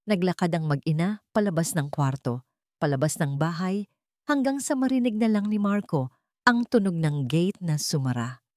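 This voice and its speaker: A woman's voice: on the low side, animated, moderate pace, warm tone, dry recording, studio quality. A woman with a Filipino accent narrating a scripted dramatic story. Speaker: audiobook narrator.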